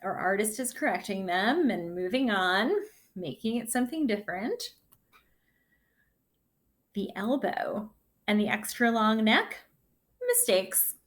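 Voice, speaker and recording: A woman teacher giving a read-aloud lesson for children, reading a picture book with gentle, deliberate, expressive phrasing and her own commentary. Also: mid-range voice. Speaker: sixth grade teacher.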